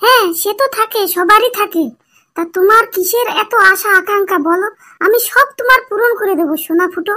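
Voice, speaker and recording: Clingy, high-voiced flirty sister-in-law Boishakhi. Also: male voice, brisk, sharp tone, heavily acted. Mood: coaxing. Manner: coaxing, insistent, wheedling. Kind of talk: comic cartoon dialogue.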